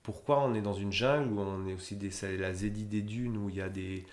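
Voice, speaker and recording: A man's voice: on the low side, fairly even intonation, brisk. A man in his thirties, a humanitarian logistician, answering interview questions in a rambling way with many fillers and restarts. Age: 30s. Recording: roomy echo.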